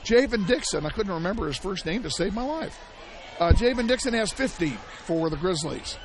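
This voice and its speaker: A man calling a high school basketball game play-by-play, hoarse and losing his voice, shouting the big plays and chatting between them. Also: expressive, moderate pace. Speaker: play-by-play announcer. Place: high school gym broadcast. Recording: loud gym crowd and noise behind.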